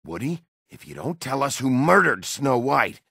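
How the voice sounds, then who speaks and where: An actor playing a gruff, hot-tempered werewolf detective in a video game, a middle-aged man with a gravelly, husky, blunt, intimidating delivery. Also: medium pitch, sing-song, normal speed, sharp tone, no room sound, clean studio sound, heavily acted. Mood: stern, pressing. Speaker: interrogating lawman.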